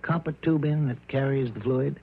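A man's voice: medium pitch, moderate pace, slightly rough. A man playing a folksy, plodding small-town police chief in an old radio drama, plainspoken and easily puzzled, with an American accent. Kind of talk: mid-century radio drama acting. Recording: dull vintage radio drama recording.

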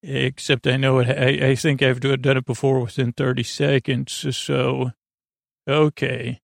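A man hosts a sleep podcast in a gravelly, creaking voice at an average male pitch. He meanders from tangent to tangent, hesitating and gently putting himself down as he goes. The delivery is meant to lull, and the sound is very dry, with no room around it. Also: little pitch movement, a little slow, no room sound. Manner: meandering, hesitant, lulling, self-deprecating. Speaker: podcast host. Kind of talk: rambling, tangent-filled sleep podcast monologue.

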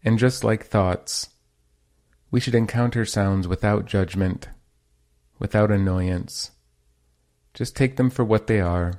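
A man leading a guided meditation as a teacher, speaking in a calm, measured and gently instructive way. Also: lowish voice.

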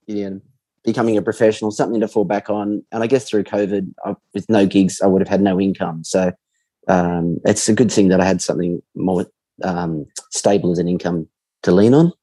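A man in his forties, a podcast guest talking about himself in a relaxed, reflective way with frequent pauses. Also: on the low side, no echo. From Australia. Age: 40s. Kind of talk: casual interview chat.

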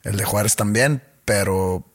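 Man host, Monterrey accent, deadpan teasing, lowish voice, dry close sound. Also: a little slow, no room sound. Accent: northern Mexican, Monterrey. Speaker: podcast host and touring comedian. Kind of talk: casual podcast banter.

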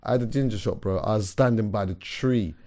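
Young man, hoarse voice, animated, slangy, podcast host. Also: fairly low pitch, a little fast, over a noisy crowd. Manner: animated storyteller, slangy, calls everyone bro. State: hoarse, recovering from illness. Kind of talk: casual chat.

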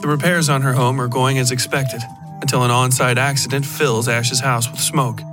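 A man reading a scripted horror story as a podcast narrator, in a measured, literary delivery with deliberate pauses. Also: mid-range voice, flat delivery, music in the background.

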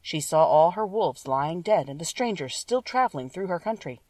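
Female storybook narrator, slightly low voice, very dry room, sitting a little back from the mic. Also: expressive, normal speed, darkish tone, dry recording, recorded from a distance. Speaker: audiobook narrator. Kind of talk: scripted children's story narration.

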